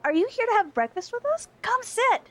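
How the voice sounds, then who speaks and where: An actress playing a friendly, eager young art student in an audio drama, chatty and welcoming. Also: female voice, very high-pitched, big pitch swings, fairly quick, a bit bright, exaggerated voice. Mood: friendly, curious. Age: young adult.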